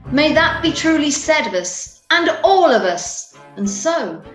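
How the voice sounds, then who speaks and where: A woman narrates a story from a script. Her voice is fairly high and very expressive, recorded in a roomy, echoing space. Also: unhurried. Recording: roomy, echoing home audio with music.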